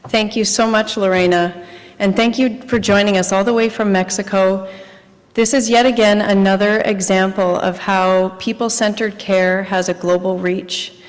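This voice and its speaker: A woman's voice: medium pitch, steady tone, a little slow, long reverb tail. A woman moderating a conference, thanking a presenter and introducing the next one. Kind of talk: introducing speakers. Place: large conference hall.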